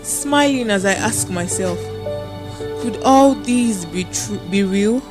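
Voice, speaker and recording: A woman's voice: medium pitch, takes it slowly, lightly acted. A woman with a Nigerian accent acting a devoted, dreamy wife speaking tenderly in a romantic radio drama. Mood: adoring. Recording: music behind the voice.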